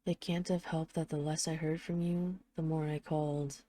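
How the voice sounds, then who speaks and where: Actress as female mafia boss in hiding, lovesick and apologetic. Lowish, soft-edged dark tone, earnest halting delivery, intimate audio roleplay. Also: little pitch movement, dry recording, close to an everyday voice. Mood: regretful, vulnerable. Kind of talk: intimate audio roleplay, one side of a phone call.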